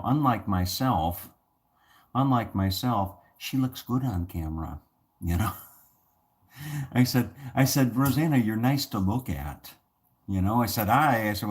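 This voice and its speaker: Very deep-voiced man rambling softly. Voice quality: soothing voice. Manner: rambling, many restarts and fillers. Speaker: channel host. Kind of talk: casual talk to camera.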